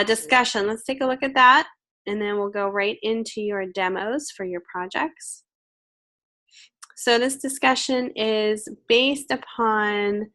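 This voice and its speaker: A woman's voice: mid-range voice, pushed and bright. A woman teaching a recorded online course, a bit nasal from a head cold, chatty and reassuring with many fillers. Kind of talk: recorded lecture.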